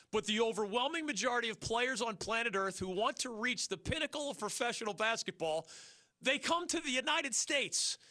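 A male sports radio host taking calls, talking fast and emphatic, pushing his opinions with rhetorical questions. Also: high voice, bright, forceful tone, no echo. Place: radio studio. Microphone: studio mic.

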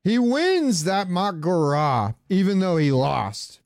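A man co-hosting a podcast in casual chat, talking like an animated, argumentative fan. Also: high-pitched, takes it slowly, no echo, clean studio sound. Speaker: podcast co-host. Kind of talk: casual chat about game cinematics.

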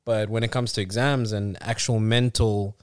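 A man's voice: fairly low pitch, fairly even intonation, normal speed, smooth, no echo, clean studio sound. A man from Africa co-hosting a casual podcast, explaining at length with restarts and frequent "you know"s. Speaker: podcast co-host.

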